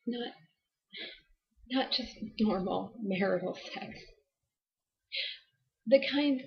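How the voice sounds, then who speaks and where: Slow, halting, distraught wife played by a woman. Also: fairly high pitch, dull audio. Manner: halting, deliberate, emotionally strained. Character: distraught abused wife and grieving mother confessing to a detective. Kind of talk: dramatic confession monologue.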